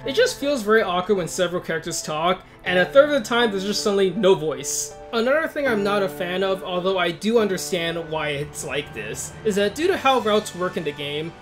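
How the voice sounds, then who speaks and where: A video host reading a scripted but casual review, informal and opinionated, with wry asides. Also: mid-range voice.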